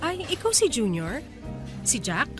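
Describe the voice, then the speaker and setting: A woman's voice: high voice, wildly swooping pitch, measured pace, over music, exaggerated voice. A woman playing a meek, earnest young provincial mistress and single mother in a Filipino radio drama. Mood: hesitant, earnest.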